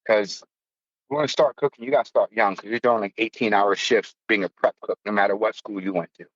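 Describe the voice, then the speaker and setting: A man's voice: lowish voice, fairly even intonation, fairly quick, no room sound, muffled recording. A man in his fifties from the United States, a podcast host telling a personal story in a blunt, profane, self-mocking way. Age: 50s. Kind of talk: casual storytelling.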